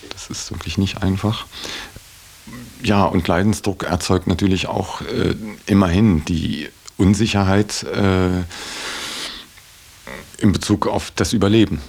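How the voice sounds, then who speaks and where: A man's voice: low voice, steady tone, a little slow, vocal fry, long pauses, a little mellow, breathy edge. A man giving a reflective interview in earnest, articulate phrases, hesitating often as he weighs his words. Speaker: interviewee in a radio feature.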